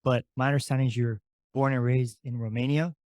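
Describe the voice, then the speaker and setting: A man's voice: medium pitch, steady tone, brisk, darkish tone, dry recording, studio quality. A man hosting a podcast, asking questions in a loose, rambling, conversational way. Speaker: podcast host. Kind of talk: casual interview talk.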